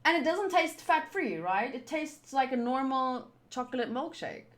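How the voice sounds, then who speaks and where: A woman livestreamer chatting casually to her viewers in a playful, teasing and animated way. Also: high voice, moderate pace. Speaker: streamer hosting a game playthrough.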